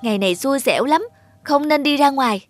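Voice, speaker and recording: A woman's voice: high-pitched, animated, fairly quick, over music. A woman playing a fussy, stubborn student daughter in a cartoon, whiny and dramatic. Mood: self-absorbed. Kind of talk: Vietnamese cartoon dialogue.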